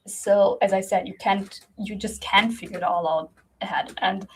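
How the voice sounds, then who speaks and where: A woman's voice: medium pitch, steady tone, normal speed, husky. A young woman from Germany whose first language is Russian, talking casually to camera as a creative coach, rambling with many fillers and restarts and laughing often.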